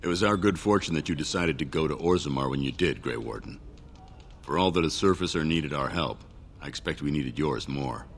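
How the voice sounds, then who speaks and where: A grumbling dwarf soldier, extremely low voice, thick gravel, fantasy game. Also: male voice, lively intonation, brisk, slight background noise. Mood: grave, dry. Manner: blunt, weary. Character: gruff, grumbling male dwarf warrior. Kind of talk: fantasy video game dialogue.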